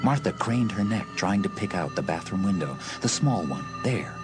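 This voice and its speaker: A male narrator with a low, deep voice reads a suspense story as scripted audiobook narration. Music plays clearly behind him. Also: expressive, moderate pace. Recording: music under the voice.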